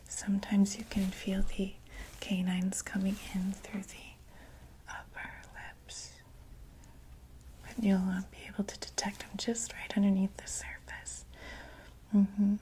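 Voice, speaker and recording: A woman playing a gentle vampire doctor in a whispered ASMR medical roleplay, reassuring and a little hesitant. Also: medium pitch, natural voice, rough recording. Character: gentle female vampire doctor examining a patient.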